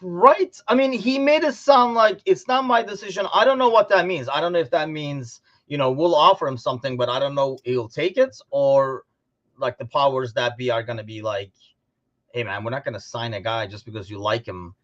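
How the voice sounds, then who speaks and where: A man co-hosting a casual sports podcast, talking informally and thinking out loud as he discusses. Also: mid-range voice, normal speed, lo-fi sound. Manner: informal, conversational, thinking aloud. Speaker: podcast co-host.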